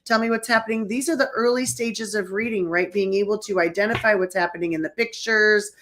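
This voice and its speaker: A woman's voice: mid-range voice, a little fast, fairly bright tone. A woman hosting a conversational talk show, speaking emphatically and repeating her points for effect.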